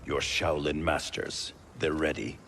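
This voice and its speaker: Very deep, gravelly stern warrior. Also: male voice, little pitch movement, measured pace, a bit bright, a little hiss or hum, exaggerated voice. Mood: grim. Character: stern, suspicious male warrior guarding allies. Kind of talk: video game cutscene acting. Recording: music behind the voice.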